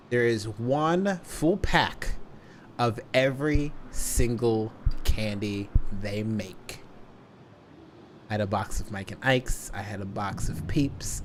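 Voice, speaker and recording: A man telling a story casually to a livestream audience, deliberately stretching words for emphasis. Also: medium pitch, a little hiss or hum.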